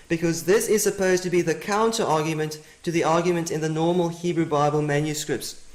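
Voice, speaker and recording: A man whose first language is Afrikaans, giving a methodical teaching presentation and repeating his key points. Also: high-pitched. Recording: roomy echo.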